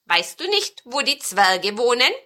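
A woman's voice: fairly high pitch, sing-song, unhurried, bright, forceful tone, full character voice. A woman voicing a kindly old male broom in a storybook, speaking earnestly and with emphasis. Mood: earnest, asking.

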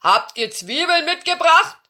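A huge storybook giant acted out by a woman, bellowing with forceful, loud delivery. He is a hungry, boastful bully who stretches his words out slowly. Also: medium pitch, lively intonation, heavily acted. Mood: demanding.

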